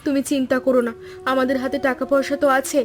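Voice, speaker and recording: A woman voicing a practical, resilient village weaver's wife in an animated story, earnest and urging. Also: high-pitched, fast talker, faint music behind, close to an everyday voice. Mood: concerned, urging. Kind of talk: Bengali animated story dialogue.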